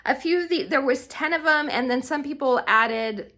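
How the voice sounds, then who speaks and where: A woman's voice: high voice, normal speed, bright, forceful tone. A woman talking casually and enthusiastically to viewers as a book vlogger, in a chatty way.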